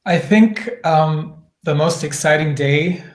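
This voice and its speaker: A man's voice: fairly high pitch, slow, roomy sound. A man answering interview questions as an actor, speaking in an earnest, thoughtful, conversational way. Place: indoor room, virtual Q&A.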